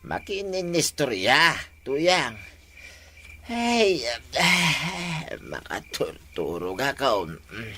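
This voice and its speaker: A man acts a guilty, evasive village husband in a Filipino comedy drama. He talks slowly, with a lively swing in pitch. The recording is slightly noisy. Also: heavily acted. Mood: sleepy, weary. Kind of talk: Ilocano barangay comedy drama acting.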